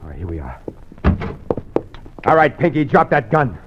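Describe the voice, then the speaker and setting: A man's voice: medium pitch, huge pitch swings, moderate pace, husky. An actor playing a nervous, scheming husband who tells his own story, evasive and confessional, in a mid-century radio thriller style. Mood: tense, commanding. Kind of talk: 1940s-50s radio thriller acting. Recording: muffled vintage radio broadcast.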